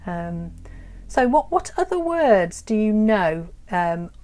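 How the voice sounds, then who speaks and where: A woman teaching English in a live online lesson, explaining slowly and patiently with many pauses and ums. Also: medium pitch, big pitch swings, a little mellow. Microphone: phone or computer on a live stream.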